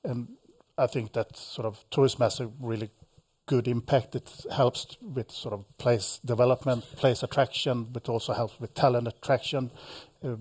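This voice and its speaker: A man speaking as a panelist at a seminar, halting with frequent fillers and repeated words. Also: medium pitch, fairly even intonation, a little mellow, slightly rough. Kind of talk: panel discussion.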